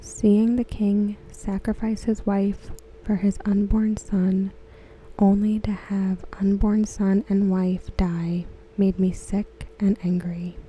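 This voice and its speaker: A woman softly reading aloud for ASMR in a breathy near-whisper, gentle and unhurried. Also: mid-range voice, level tone, rough recording. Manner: hushed, gentle, unhurried delivery. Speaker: ASMR creator. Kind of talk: soft-spoken ASMR read-aloud of written comments.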